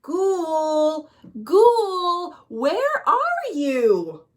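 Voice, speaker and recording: A woman's voice: extremely high pitch, big pitch swings, drawn-out words, pitched above the performer's own voice, exaggerated voice. A woman voicing a brave, friendly young village boy in a children's storybook, with emphatic, declamatory delivery. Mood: calling out.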